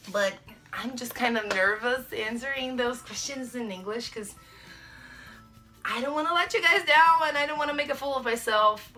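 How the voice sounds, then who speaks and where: A woman's voice: high voice, bright, forceful tone. A young woman in her twenties with a Brazilian Portuguese accent, chatting casually to camera in her bedroom, with lots of ums and likes. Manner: chatty, many ums and likes, gestures a lot. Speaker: vlogger. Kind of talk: casual to-camera vlog answering tag questions. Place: small bedroom indoors. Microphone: camera mic at close framing.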